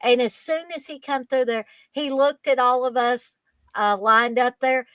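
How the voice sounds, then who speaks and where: Kentucky-accented woman with a higher voice. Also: measured pace, no room sound. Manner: earnest, effusive, repeats herself when excited. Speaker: podcast guest. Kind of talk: casual storytelling chat.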